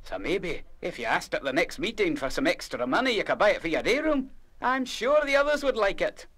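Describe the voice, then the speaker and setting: An actor playing a kindly Scottish music master in a radio play, with a gentle, coaxing and encouraging manner. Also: male voice, high-pitched, sing-song, a little fast, full character voice. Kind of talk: full-cast radio play acting.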